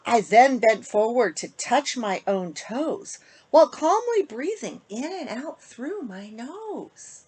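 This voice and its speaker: A woman reads a children's picture book aloud in a fairly high voice. Her melody swings widely in a sing-song storytelling style. The tone is breathy, with phrase endings that often drop softly. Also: very animated, slow pace. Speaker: author reading own picture book to children.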